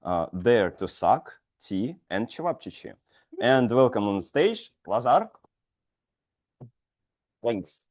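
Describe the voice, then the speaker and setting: A man's voice: mid-range voice, big pitch swings, slow pace, long pauses, darkish tone. A man hosting a speaking club meeting, introducing the next speaker in a hesitant way with many fillers. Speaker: meeting host.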